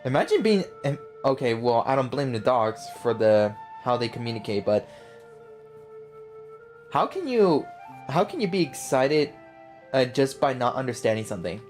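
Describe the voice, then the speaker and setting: A woman's voice: a bass voice, sing-song, moderate pace, darkish tone, faint music behind. A woman live streaming a video game, reading dialogue aloud and adding casual commentary.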